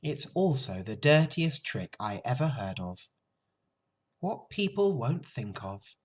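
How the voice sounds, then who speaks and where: A woman voicing a calm, firm female spider in a storybook, measured, thoughtful and no-nonsense. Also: very deep voice, breathy edge, exaggerated voice. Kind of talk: storybook character voice.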